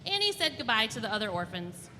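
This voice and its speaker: A woman storyteller reading a children's storybook aloud in an expressive, deliberate way. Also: fairly high pitch. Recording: reverberant hall, faint background noise.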